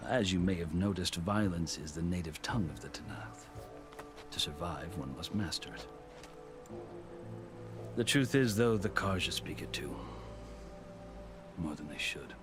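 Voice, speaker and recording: Proud veteran warrior-lawgiver, deep voice, measured formal storytelling for a game cutscene, music underneath. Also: male voice. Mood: reflective, earnest. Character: seasoned, proud male warrior turned lawgiver, earnest storyteller. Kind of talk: scripted video game cutscene dialogue. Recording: music behind the voice.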